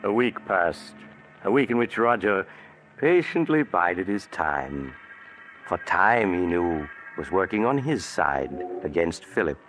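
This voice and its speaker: A man giving measured, ominous scripted narration for a 1940s radio drama. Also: sits low, sing-song, fairly bright tone. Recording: old radio broadcast with music.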